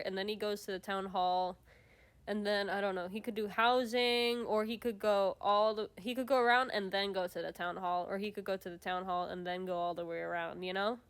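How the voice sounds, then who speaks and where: A woman's voice: mid-range voice, clear, resonant tone. A woman hosting a casual livestream chat, sniffly and stuffed up, rambling with lots of restarts and fillers. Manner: rambling, many restarts and fillers, laughs often. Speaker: streamer host.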